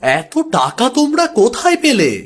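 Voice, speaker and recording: A man playing an obedient young village husband in a cartoon, with a comforting, soft-hearted manner. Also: very high-pitched, huge pitch swings, moderate pace, slightly rough, full character voice. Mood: dutiful. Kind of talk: animated moral story acting.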